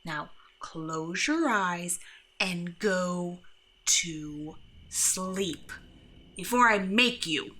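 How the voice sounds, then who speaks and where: Woman playing a bright-voiced grumpy bedside monster. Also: lowish voice, expressive, very slow, exaggerated voice. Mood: grumpy, threatening. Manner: exasperated, bossy, mock-threatening. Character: grumpy, sarcastic female monster from under the bed. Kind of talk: scripted ASMR roleplay, speaking to the listener.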